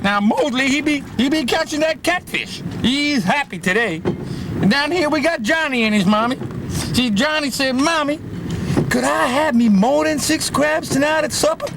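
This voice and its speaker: A man's voice: extremely high pitch, moderate pace, gravelly. A man voicing a folksy old fisherman who rambles and chuckles while showing off his crew, recorded aboard a boat. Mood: jokey. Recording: outdoor boat noise behind.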